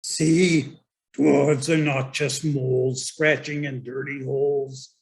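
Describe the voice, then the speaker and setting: A man plays a gruff dwarf warrior full of pride. His voice is gravelly and unhurried, with emphatic, declamatory phrasing suited to a fantasy script read. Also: mid-range voice, level tone. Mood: proud.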